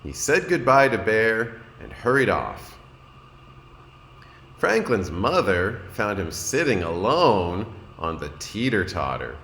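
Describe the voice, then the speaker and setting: A man, a kindergarten teacher, reading a storybook aloud to young children in an expressive, deliberate way and addressing his listeners. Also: on the low side. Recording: roomy echo, noticeable background noise.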